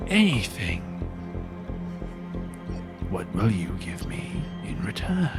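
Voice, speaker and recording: A man voicing a sinister deer spirit in a tabletop game, making slow, drawn-out, menacing demands. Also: fairly low pitch, big pitch swings, gravelly, over loud music, exaggerated voice.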